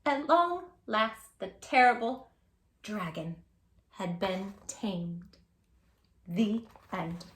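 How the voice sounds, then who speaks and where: A woman reading a picture book aloud to young children, with dramatic emphasis, hushed asides and questions to her listeners. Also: mid-range voice, expressive, extremely slow, pauses often, reverberant. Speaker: children's storytime host.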